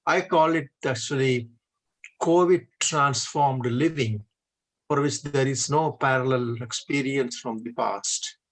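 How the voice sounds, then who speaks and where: A man in his seventies from India, a native Hindi speaker, answering interview questions as a retired engineer in a deliberate way with frequent hesitations. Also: mid-range voice, fairly bright tone, low-quality recording. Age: 70s. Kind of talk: measured conversational interview answers.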